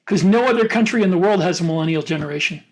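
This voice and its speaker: An American man with a notably high-pitched voice talks as a podcast co-host. He offers opinions in a casual, rambling way, as part of a panel discussion. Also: very fast.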